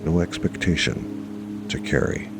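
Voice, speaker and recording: A man with an extremely deep, creaky voice guides a meditation over clearly audible music. He speaks slowly in short, measured phrases with long pauses, reassuring the listener as he goes. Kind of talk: guided meditation narration, self-hypnosis.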